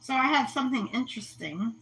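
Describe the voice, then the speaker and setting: A woman's voice: high voice, animated, a little fast, a bit bright, a little hiss or hum, low-quality recording. A woman hosting a casual livestream, chatty, telling stories and laughing often. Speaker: host of an aquarium livestream. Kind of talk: casual chat.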